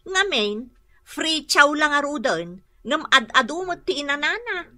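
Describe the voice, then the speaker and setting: A fed-up Ilocano shop owner in radio drama style. Her voice sits high and cuts very sharply, and she talks with the strict, emphatic air of someone in charge. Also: sing-song, a little slow, pushed and bright, heavily acted. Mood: apologetic explaining. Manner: nagging, emphatic. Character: strict, fed-up woman boss of a laundry shop.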